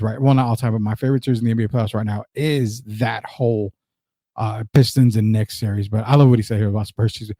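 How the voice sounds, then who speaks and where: A man's voice: medium pitch, warm tone, no room sound, clean studio sound. A man from Rico, Spanish speaker, hosting a casual sports talk show and driving his points home by repeating them. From Puerto Rico. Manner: emphatic, repeats his points, reads out viewer comments.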